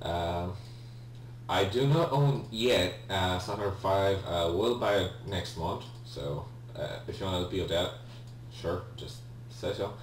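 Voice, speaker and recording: A man's voice: low-pitched, a little slow. A young man with a Polish accent, a native Polish speaker, giving hesitant casual commentary on a game he is playing. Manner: hesitant, many ums and restarts, apologetic. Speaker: let's play gamer. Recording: echoey room, distant mic, faint hum.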